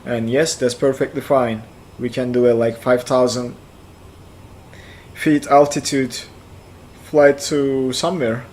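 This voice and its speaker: A man in his forties from central Turkey, with a Turkish accent, chatting casually on a flight sim stream, answering chat aloud and thinking out loud. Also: medium pitch, fairly even intonation, slow pace, slight background noise. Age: 40s.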